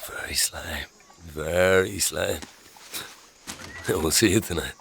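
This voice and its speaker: Extremely deep, gravelly brooding hero. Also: male voice, takes it slowly, a bit bright, slight background noise, full character voice. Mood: shy, warming. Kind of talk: dark fantasy audio drama acting.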